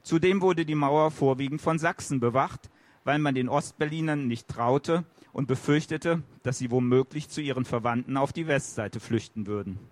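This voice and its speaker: Male journalist reading a text, mid-pitched, dry close recording. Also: normal speed, dry recording. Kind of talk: reading an essay aloud.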